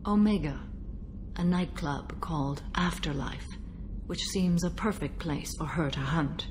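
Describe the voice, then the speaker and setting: Stoic old alien warrior lady from a video game, low voice, very formal and solemn, noisy ambience behind. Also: a little slow. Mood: grave, resolute. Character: stoic centuries-old female alien warrior sworn to a code. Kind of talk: video game dialogue acting.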